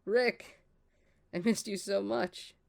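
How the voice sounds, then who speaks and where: A woman's voice: mid-range voice, steady tone, slow pace, gravelly, darkish tone. A woman acting a brash, sardonic young woman in an audio drama, with a dry, teasing manner. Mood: fond. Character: brash, teasing young woman, bored with small-town life.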